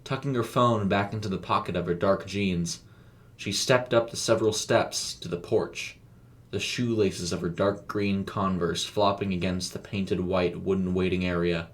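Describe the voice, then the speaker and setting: A man narrates a scripted story. His voice sits on the low side, and he tells it in a measured, descriptive manner. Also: fairly even intonation. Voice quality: low-pitched.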